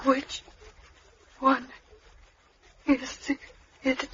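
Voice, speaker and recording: An actress playing a terrified young captive woman, pleading and defiant in shrill outcries, in 1940s radio horror style. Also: flat delivery, extremely slow, sharp tone, full character voice. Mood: anxious, pleading. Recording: muffled, noisy vintage radio recording.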